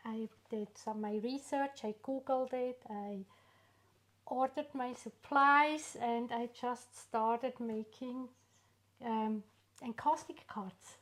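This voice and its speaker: A middle-aged woman with a Swiss accent, English not her first language. She talks slowly, lingering on pauses and fillers as she shares her story. Her voice sits a little high. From Switzerland. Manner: unhurried, warm storytelling with frequent pauses and fillers. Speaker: artist guest. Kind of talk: casual interview.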